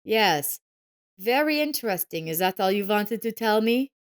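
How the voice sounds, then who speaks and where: A woman's voice: medium pitch, expressive, moderate pace, lightly acted. A woman voicing a reserved, grudging middle-aged father with a terse, unimpressed manner in an audio story. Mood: grudging, restrained.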